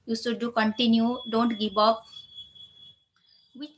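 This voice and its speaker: A woman's voice: a little high, little pitch movement, a little slow, slight background noise. A woman in her thirties, a native Nepali speaker, chatting casually as a live stream host in non-native English with loose grammar and frequent filler phrases. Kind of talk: casual live chat, answering viewers.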